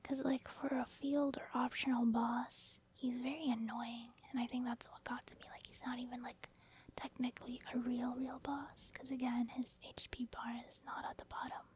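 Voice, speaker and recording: A woman's voice: on the high side, level tone, moderate pace, a little mellow, slight background noise. A woman doing ASMR game commentary in a whisper, casually explaining her thinking as she plays. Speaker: gaming ASMR video creator.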